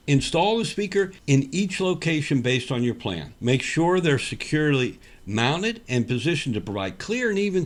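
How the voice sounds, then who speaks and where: A man giving instructional narration as a company representative, working through a checklist step by step and stressing the start of each point. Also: mid-range voice. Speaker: company representative presenting a product explainer.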